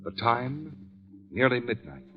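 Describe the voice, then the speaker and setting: A man's voice: mid-range voice, sing-song, measured pace. An American man announcing and narrating in scripted 1940s radio style, emphatic, dramatic and polished. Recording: muffled old radio recording, faint music. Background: faint music.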